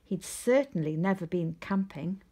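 A woman reading a children's picture book aloud in an expressive storytelling style. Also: on the low side, normal speed, slightly rough. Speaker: storybook reader. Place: indoors at home, seated.

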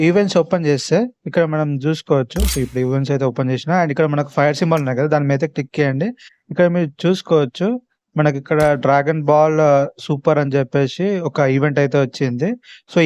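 A man with a Telangana Telugu accent walks viewers through game events in an informal, instructional way. His voice sits a bit high and he speaks very quickly. The sound is dry, with hardly any room to it. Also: darkish tone, dry recording. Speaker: gaming YouTuber. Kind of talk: casual gaming event walkthrough.

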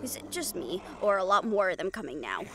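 A woman's voice: mid-range voice, sing-song, brisk, gravelly, background noise. A woman voice-acting a tough young fighter in a fantasy action audio roleplay, with dramatic, sweary banter.

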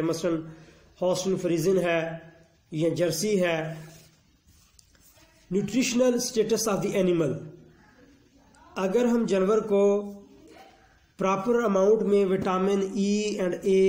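A man, a veterinarian giving a measured instructional lecture that mixes with English technical terms. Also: high-pitched, mellow, roomy sound. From Pakistan. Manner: slow, measured explanation mixing Urdu and English terms. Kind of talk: instructional lecture on livestock disease.

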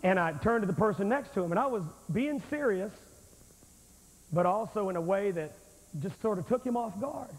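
Fortyish guy preaching on a church stage, high voice, handheld mic, long echo off the hall, old vhs tape sound. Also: moderate pace. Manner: animated, confrontational storytelling, acts out his own lines. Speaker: evangelist preacher. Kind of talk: revival sermon storytelling. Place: large church sanctuary, on stage. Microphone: handheld microphone.

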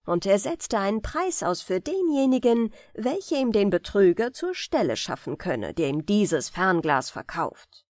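A woman narrating fairy tales as a bedtime audiobook in a measured, expressive storytelling manner. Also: mid-range voice, no room sound, studio quality. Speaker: audiobook reader.

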